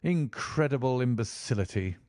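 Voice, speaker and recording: A man voicing a commanding, impatient male detective with a crisp, forceful delivery in an audiobook. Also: medium pitch, expressive, normal speed, dry recording, clean studio sound, natural voice. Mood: urgent. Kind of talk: audiobook character reading.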